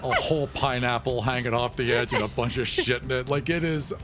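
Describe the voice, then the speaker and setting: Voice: medium pitch, brisk, over loud music. Someone narrating an improvised tabletop roleplaying game in a casual, playful, chuckling way. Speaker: game master and player.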